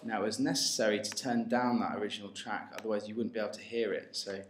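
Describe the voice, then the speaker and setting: A man giving an informal, hesitant step-by-step software tutorial as a music technology tutor. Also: lowish voice, steady tone, fast. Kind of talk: screencast tutorial narration. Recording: roomy, faint noise with mouse clicks.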